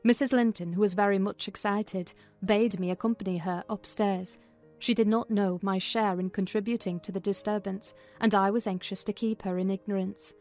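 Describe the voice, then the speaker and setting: A woman's voice: medium pitch, fairly even intonation, normal speed, a little mellow, soft background music, natural voice. A woman playing a sensible, composed household servant, narrating a classic novel as scripted storytelling.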